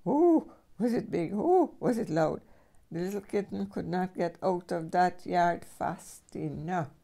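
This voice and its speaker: An older woman reading a children's storybook aloud as a great-grandmother, with expressive storyteller phrasing. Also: on the low side, creaky.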